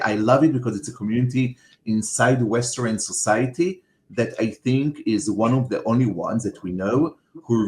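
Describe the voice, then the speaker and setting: A man's voice: mid-range voice, a little mellow. A man from Israel with an Israeli accent, a native Hebrew speaker, telling his story thoughtfully as a podcast guest. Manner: thoughtful, searching for words. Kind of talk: interview storytelling. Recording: roomy echo.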